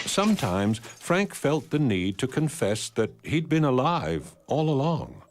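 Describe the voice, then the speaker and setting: A man narrating an animated storybook tale in a wry, deadpan, scripted storyteller's manner. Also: mid-range voice, measured pace, noisy background. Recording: music behind the voice. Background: music.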